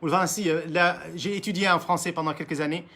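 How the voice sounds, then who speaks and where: A man's voice: fairly high pitch, fairly even intonation, fast talker, bright, forceful tone. A man from Mauritania, an Arabic speaker, delivering an impassioned political monologue in French, emphatic and repetitive, often restarting his words and addressing leaders directly. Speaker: political commentator.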